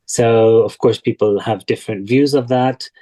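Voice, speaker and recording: A man's voice: medium pitch, fairly even intonation, warm tone, poor audio. Man w on a video call, reflective interview, thinks as he talks, repeats for emphasis. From Kuwait, of Palestinian parents. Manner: thoughtful, deliberate, with repetition for emphasis. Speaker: interview guest. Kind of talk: reflective personal interview talk.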